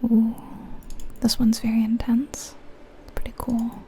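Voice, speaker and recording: Woman, whispered ASMR game commentary, slow and even, faint noise behind, musing to herself. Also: fairly high pitch. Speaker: ASMR creator.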